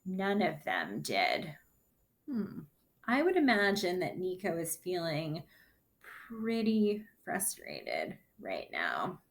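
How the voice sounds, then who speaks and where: Very slow, animated woman reading aloud. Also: fairly low pitch. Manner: deliberate, explains characters' feelings to listeners. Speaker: story reader. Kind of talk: expressive children's picture book read-aloud.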